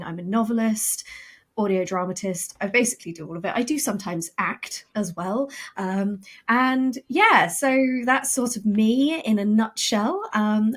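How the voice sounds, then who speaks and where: A woman speaking as a guest on a podcast, talking in a thoughtful, conversational way with frequent fillers. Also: medium pitch. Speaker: creative director and game writer, guest. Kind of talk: casual podcast interview.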